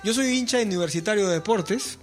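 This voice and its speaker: A man's voice: high-pitched, fast talker, soft background music. A musician in his forties, a guest chatting earnestly in an interview, often repeating words. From Peru. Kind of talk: casual interview chat.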